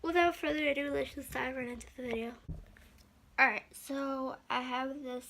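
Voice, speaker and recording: Slightly hoarse, chatty young woman. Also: high-pitched, fairly even intonation, a bit bright, slight background noise. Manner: chatty and upbeat, quick asides. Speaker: beauty vlogger. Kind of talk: makeup tutorial narration. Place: small room at home, indoors.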